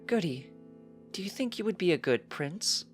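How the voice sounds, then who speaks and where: A narrator voicing a gentle, gracious grown pony princess with formal, encouraging, measured speech in a storybook reading. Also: mid-range voice, very animated, soft background music, pitched above the performer's own voice, close to an everyday voice.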